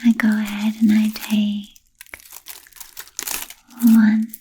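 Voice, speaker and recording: Woman as a slow whispering, seductive vampire. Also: medium pitch, completely flat delivery, a little hiss or hum. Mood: coaxing, playfully puzzled. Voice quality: soft breathy whisper. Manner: slow, gentle, coaxing, with lingering pauses. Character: vain, seductive, politely teasing female vampire hypnotist. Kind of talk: whispered ASMR roleplay.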